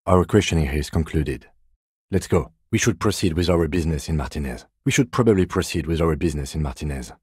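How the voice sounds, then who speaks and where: An actor playing a composed, courteous police lieutenant in a video game, with measured, dryly sardonic, deadpan delivery and a low, husky voice. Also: male voice, dry recording, clean studio sound, heavily acted. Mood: calm, formal. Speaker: game character, police detective. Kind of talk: video game dialogue voice lines.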